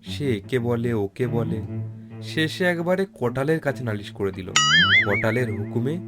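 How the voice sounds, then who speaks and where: A man's voice: mid-range voice, expressive, measured pace. A man reading a scripted folk tale as narrator. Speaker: storyteller, narrator. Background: plucked guitar-like music.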